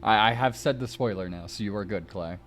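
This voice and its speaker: A man's voice: on the low side, a little fast, fairly bright tone, slight background noise. A man hosting a stream, chatting casually and narrating a visual novel aloud over music. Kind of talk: casual chat and expressive narration of a story. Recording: music behind the voice. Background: background music from the game.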